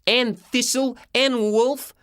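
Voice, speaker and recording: A man's voice: very high voice, steady tone, slow pace, a bit bright, clean tone, dry recording, clean studio sound, voice pushed up, exaggerated voice. A man voicing a mischievous, defensive young boy from a children's story, earnest and insistent. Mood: accusing.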